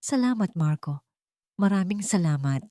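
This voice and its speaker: A woman with a Filipino accent voicing a wronged, coldly determined businesswoman in a dramatic story, measured and resolute. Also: on the low side, animated, warm tone, no room sound, clean studio sound, natural voice. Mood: warm gratitude.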